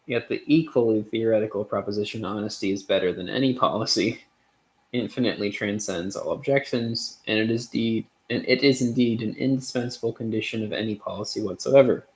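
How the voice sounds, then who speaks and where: A man's voice: on the low side, low-quality recording. A man reading a philosophical essay aloud as a streamer, with frequent hesitations and off-the-cuff asides.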